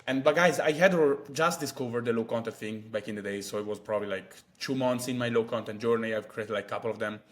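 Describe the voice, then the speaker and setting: A man's voice: mid-range voice, fast talker, hardly any pauses. A man, chatting casually to camera as a vlogger with many fillers and asides. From Italy. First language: Italian. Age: 20s.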